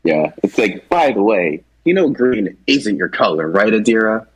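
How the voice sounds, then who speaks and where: A man's voice: fairly low pitch, very animated, normal speed, rough recording. A man casually discussing fictional characters as a co-presenter, informal and often rephrasing his points.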